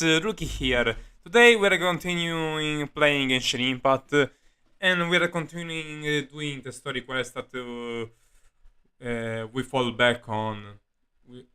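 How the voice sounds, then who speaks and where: A man doing casual live game commentary as a streamer, halting and self-deprecating in how he talks. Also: medium pitch, bright, forceful tone, clear, resonant tone. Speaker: game livestreamer. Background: game music.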